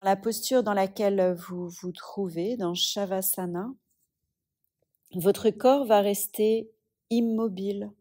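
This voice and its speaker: Lady leading yoga nidra, real slow, soft mellow voice, calm pauses. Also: medium pitch. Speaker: yoga teacher. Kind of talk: guided yoga nidra relaxation.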